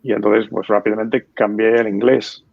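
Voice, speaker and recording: A man in his fifties from the States speaks in a low, very gravelly voice, telling stories at an easy pace with lots of fillers. The sound is dry and dull, like lo-fi audio from a remote call. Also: moderate pace, no echo. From United States. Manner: relaxed storytelling with many fillers and restarts. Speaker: guest. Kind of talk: conversational interview.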